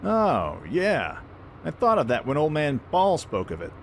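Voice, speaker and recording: A man playing a brash, friendly young pirate prince in an animated, informal way for a fan audio drama. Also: a little high, unhurried, a little hiss or hum, full character voice. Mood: upbeat, casual.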